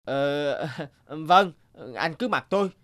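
A man's voice: on the high side, lively intonation, slow pace. A man voicing a good-natured, earnest otter in a children's cartoon. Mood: friendly. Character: honest, good-natured otter, later quietly vengeful.

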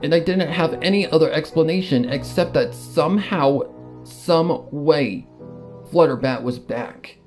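A man's voice: on the high side, measured pace, a little mellow. Man dramatically narrating a story over music. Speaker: fan fiction reader. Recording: music behind the voice. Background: background music.

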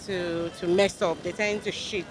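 A man's voice: high-pitched, flat delivery, unhurried. A young Nigerian man in a street interview, stumbling and restarting his phrases. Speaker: passerby interviewee. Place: busy city roadside outdoors. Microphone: handheld mic held by interviewer. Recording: outdoor street with traffic noise.

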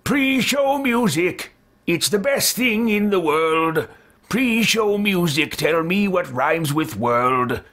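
A man's voice: high voice, expressive, a bit bright. A man singing a homemade jingle in a playful, comic way, drawing out and belting the words. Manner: silly, drawn-out, belting.

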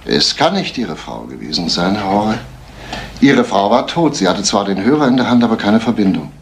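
An actor playing a methodical, insistent police detective in a retro German radio crime play, questioning with deliberate authority. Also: male voice, lowish voice, very animated. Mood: probing, insistent. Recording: noisy vintage radio play recording.

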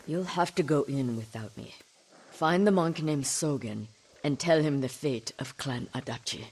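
A woman's voice: low voice, unhurried, darkish tone, breathy edge, heavily acted. An actress playing an older samurai widow in a video game, grave, clipped and resolute in her grief and vengefulness. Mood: cold resolve. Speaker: game character. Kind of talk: dramatic video game acting. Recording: music behind the voice.

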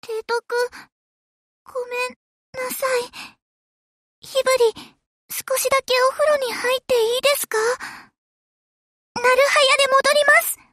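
An extremely high, childlike little warship girl from an anime game. She speaks slowly and politely, with a sweet, earnest eagerness to serve and a touch of hesitation. The recording is close and dry. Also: steady tone, pushed and bright, dry recording, exaggerated voice. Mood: shy, hesitant. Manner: polite, dutiful, slightly hesitant. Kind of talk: Japanese anime game voice lines.

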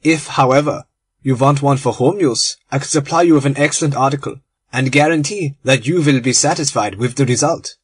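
A man's voice: mid-range voice, a little fast, husky, no room sound, studio quality. A man reading aloud, voicing a genial, proud little German bomb-maker in a storybook. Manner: cheerful, effusive, courteous.

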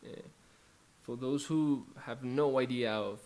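Young colombian guy, spanish first language, halting soft talk between songs at home, slow, into a studio mic. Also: medium pitch. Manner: soft-spoken, halting, with many restarts. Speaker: worship musician. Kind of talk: introducing songs in a livestreamed worship set. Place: living room at home, indoors. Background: faint acoustic guitar.

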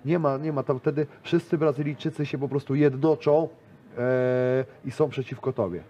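A man's voice: medium pitch, fairly even intonation, normal speed, dark tone, dull audio. Rambling man in his thirties. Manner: rambling, many fillers and restarts. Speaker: grappler and interview guest. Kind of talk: casual interview chat. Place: small studio with a decorated wall.

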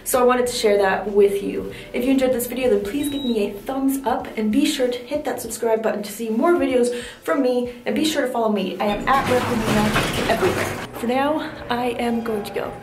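A woman's voice: a little high, brisk, a little mellow, roomy sound. A young woman vlogging to a handheld camera in a hostel, chatty and upbeat. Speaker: travel vlogger. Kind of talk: casual travel vlog. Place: indoor hallway and room of a hostel. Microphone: handheld camera at arm's length.

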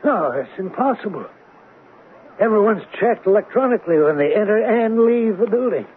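A man's voice: high voice, expressive, moderate pace. A man acting a flustered, evasive company president in a 1970s radio drama, hesitant and defensive. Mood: worried, defensive. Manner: hesitant, anxious, defensive businessman. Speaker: actor in a radio mystery drama. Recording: tinny, noisy old radio recording.

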